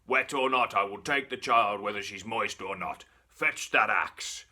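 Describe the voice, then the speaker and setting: A grandiose storybook devil declares his terms in a loud, formal, slow boom. A male storyteller plays him at his own pitch, leaning on theatrical menace. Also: slightly rough, exaggerated voice. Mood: menacing.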